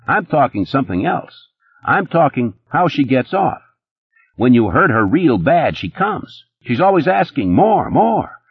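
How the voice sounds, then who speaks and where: A twitchy, boastful playboy, put on by a male narrator, his voice jumping up high and swinging all over as he rushes his words. The husky tone stays dry and close, with a slightly dull, muffled sound. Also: very animated, no room sound, heavily acted. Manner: rapid, nervous, boastful, pitched high and pushy. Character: jittery fast-talking male nightclub playboy, sleazy.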